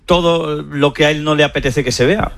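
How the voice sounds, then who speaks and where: A man's voice: fairly high pitch, steady tone, a little fast, vocal fry, a bit bright. A man, a radio pundit who bantered in an exasperated way.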